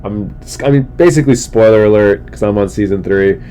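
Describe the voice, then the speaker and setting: Congested low-voiced man rambling casually. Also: fairly quick, mellow. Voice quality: congested, stuffy voice. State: sick, congested, losing his voice, throat-clearing. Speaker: comedian hosting a solo podcast. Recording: noticeable background noise with low rumble.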